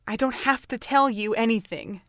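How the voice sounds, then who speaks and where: A guarded young woman played by an actress in an amateur audio drama. Her voice is bright and a little high, recorded dry, and she is quick to get frustrated. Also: no room sound, lightly acted. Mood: defensive. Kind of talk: fan audio drama dialogue.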